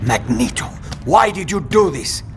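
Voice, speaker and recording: An actor playing an earnest, authoritative security chief in a video game, speaking formally and with emphasis. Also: male voice, a little high, very animated. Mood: indignant. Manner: formal, measured wording, emphatic. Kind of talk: video game cutscene acting. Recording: loud music bed behind.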